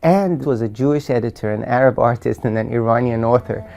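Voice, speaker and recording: Creaky-voiced man speaking earnestly. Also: mid-range voice, fast, warm tone. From Iran. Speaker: author. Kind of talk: documentary interview, storytelling.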